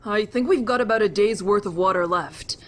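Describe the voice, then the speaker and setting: A woman playing a gentle, considerate teenage boy survivor in an audio drama, polite and reassuring. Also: mid-range voice, fairly quick, natural voice. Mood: calm, reassuring. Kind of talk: amateur audio drama acting.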